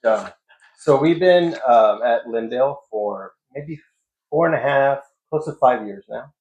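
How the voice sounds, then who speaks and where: A man's voice: medium pitch, expressive, unhurried, a little mellow. A man speaking as a pastor and interview guest, answering in an informal, reflective, conversational way. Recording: echoey room, dull muffled sound.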